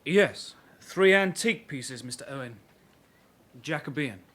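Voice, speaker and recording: A young detective constable in a British radio play. His voice is a little high, with a polite, dry and persistent manner. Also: lively intonation, unhurried, fairly bright tone. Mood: probing, polite. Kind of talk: British radio drama acting.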